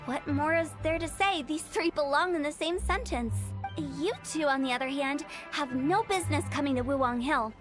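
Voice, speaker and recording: A woman's voice: high-pitched, moderate pace, close to an everyday voice. A woman voicing a quirky, playful teenage girl funeral director in a video game, glib and theatrical with a morbid cheer. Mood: teasing. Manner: theatrical, glib sales patter. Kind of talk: animated video game voice acting. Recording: game music behind the voice.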